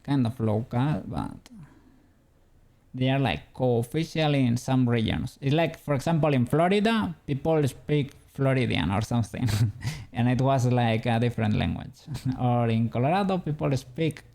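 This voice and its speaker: A man's voice: mid-range voice, animated, moderate pace, vocal fry, a little mellow. A man from Spain with a Spanish accent, chatting casually with viewers while he works on a 3D model during a stream. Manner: rambling, answers chat, chuckles often.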